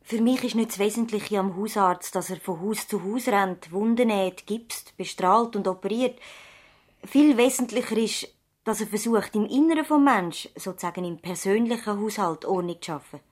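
A woman playing an idealistic young doctor's daughter in a 1970s radio drama, with a thoughtful, measured, earnest delivery. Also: medium pitch, a little mellow, close to an everyday voice. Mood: thoughtful, hesitant. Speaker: actor in a radio play.

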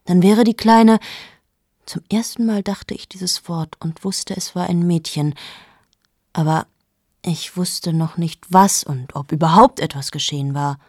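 Low-voiced, amoral woman journalist in her late 30s, confiding in hushed tones, dry studio radio play. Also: steady tone, a little slow, dry recording. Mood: anxious. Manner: intimate, addresses the listener, slips into whispers. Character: cold amoral female journalist, 38, confessing. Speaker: radio drama lead.

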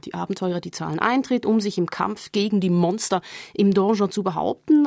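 A woman's voice: mid-range voice, vocal fry, a little mellow, no echo. Woman explaining on radio, dry studio sound. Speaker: radio magazine contributor. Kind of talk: prepared radio feature talk.

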